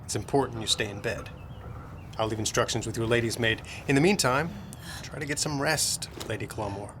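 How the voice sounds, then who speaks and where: An actor playing a grave, courteous physician to a noble family in a period audio drama, clinical, polite and firm. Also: male voice, medium pitch, brisk, fairly bright tone, a little hiss or hum, lightly acted. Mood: professional, reassuring.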